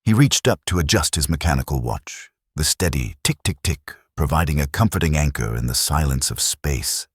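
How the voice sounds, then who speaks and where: Very deep dramatic male narrator. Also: animated, moderate pace, few pauses, breathy edge, no room sound, clean studio sound.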